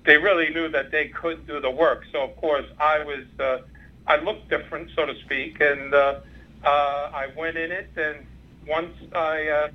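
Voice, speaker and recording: A Cuban-born man in his sixties, a native Spanish speaker, recounts his working years in a rambling way, full of fillers and restarts. His voice sits a little high for a man. The sound comes over a dull, muffled phone line. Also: steady tone, unhurried. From Cuba. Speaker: former undercover FBI agent, guest. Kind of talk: interview, recounting career.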